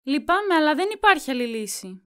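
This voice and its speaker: A woman's voice: high-pitched, big pitch swings, pushed and bright, full character voice. A woman playing a polite, helpful young shop assistant in an amateur audio drama, with a customer-service manner. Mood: apologetic.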